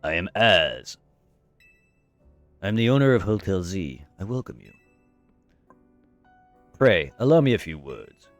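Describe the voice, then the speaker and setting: A man voicing a towering ancient man, speaking gravely and formally in a lowered voice. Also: very animated, no echo, soft background music. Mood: solemn. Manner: grave, slow, formal delivery.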